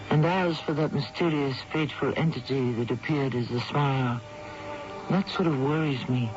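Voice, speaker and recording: Male radio mystery host, portentous, measured narration, slightly high voice, music behind, muffled broadcast. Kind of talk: scripted narration, 1970s radio.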